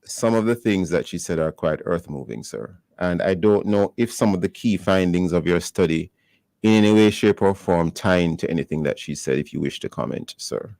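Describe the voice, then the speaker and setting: A man hosts a radio talk show in a very low voice. He speaks in a measured, formal way with a dry, wry edge. The close studio mic sounds dry. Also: creaky, a little mellow, no echo. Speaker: radio host. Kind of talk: radio interview.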